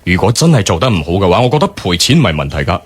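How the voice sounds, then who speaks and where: A man's voice: low voice, very animated, very fast, husky, no echo, heavily acted. An actor playing an impatient, flippant, arrogant businessman in a radio drama, dismissive and offhand.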